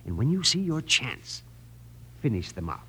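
Scheming land-grabber, oily, menacing, bright sharp voice, very animated intonation, old radio western. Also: male voice, mid-range voice, normal speed, slight background noise, poor audio. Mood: gloating, scheming. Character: smug, scheming, greedy land-grabbing villain boss. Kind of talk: 1950s western radio acting.

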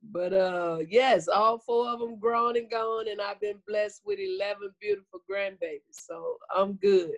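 A woman's voice: mid-range voice, measured pace, few pauses, highs cut off. A woman in her fifties with a Southern US accent, chatting as a comedian guest in a folksy, good-humored way. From Arkansas, United States. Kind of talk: casual interview chat.

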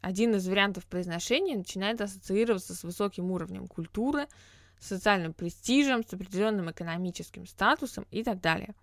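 A woman's voice: medium pitch, quick pace, dry recording, clean studio sound. A woman hosting a podcast, talking through ideas on her own in an explanatory, reflective way with frequent hesitation sounds. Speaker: podcast host. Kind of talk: solo podcast monologue.

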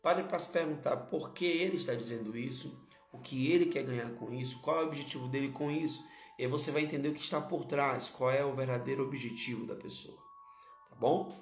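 A hoarse male pastor, preaching a sermon and repeating key phrases to his listeners. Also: medium pitch, brisk, echoey room, faint music behind.

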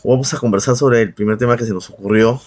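A man's voice: medium pitch, fast talker, a little mellow. A man hosting a podcast in casual, humorous chat, with an irreverent, profane way of talking. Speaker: podcast host.

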